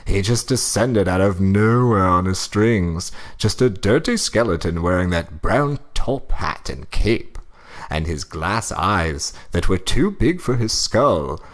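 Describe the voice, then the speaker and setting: A man's voice: low voice, animated, slow. A man playing a pompous, fussy old husband reading a horror story aloud for comedy. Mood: dramatic reading. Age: older.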